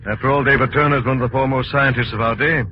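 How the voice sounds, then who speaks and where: An actor playing a confident, wealthy young gentleman crime-fighter, commanding and dramatic in old radio style. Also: medium pitch, fairly even intonation, rapid-fire, close to an everyday voice. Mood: suave, reassuring. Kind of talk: 1940s radio acting. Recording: dull, noisy vintage radio recording.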